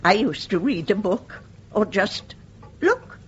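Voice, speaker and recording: An older British actress playing a genteel, courteous and spirited widow of eighty-four in a radio play. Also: female voice, medium pitch, very animated, takes it slowly, slight background noise. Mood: gentle, chatty. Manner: courteous, polite formal address. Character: genteel, chatty 84-year-old widow, vain and spirited. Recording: dull, muffled radio play recording.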